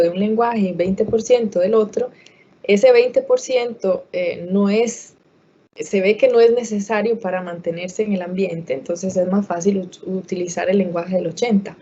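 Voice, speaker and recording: A woman answers audience questions in an online talk, stopping often to restart a phrase or fill a pause. Her voice is mellow and dark. The sound comes through a dull, lo-fi video call. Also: mid-range voice, husky. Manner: hesitant, many fillers and restarts. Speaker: guest expert speaker.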